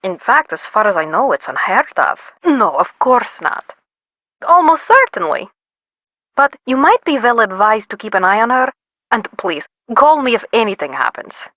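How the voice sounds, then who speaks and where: An actress playing a composed, cautious female doctor in a video game, with a measured, formal, precise way of talking. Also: a little high, very animated, bright, forceful tone, no room sound. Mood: grave, cautious.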